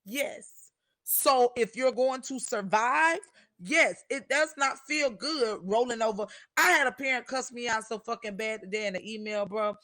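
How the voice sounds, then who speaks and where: A woman's voice: a little high, a little slow, pushed and bright, no echo, clean studio sound. A woman in her thirties, a teacher, talking bluntly and profanely in a heated casual discussion. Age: 30s.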